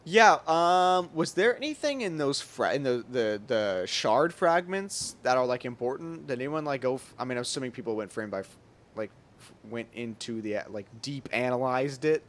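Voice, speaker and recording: A young adult streamer giving casual, reactive playthrough commentary in a chatty, halting way. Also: mid-range voice, expressive, a little hiss or hum. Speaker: game streamer.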